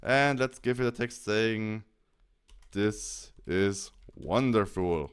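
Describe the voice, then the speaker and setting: A man presenting a software tutorial in a casual way, explaining things step by step. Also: fairly low pitch, slow, smooth.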